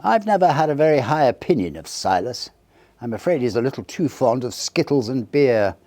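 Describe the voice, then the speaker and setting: A man's voice: mid-range voice, big pitch swings, fairly quick, a little mellow, no room sound, pitched above the performer's own voice, close to an everyday voice. A man voicing a kindly, opinionated country rector chatting about his parishioners in a storybook reading. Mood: gossipy, concerned. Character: kindly, gossiping country rector, mildly disapproving.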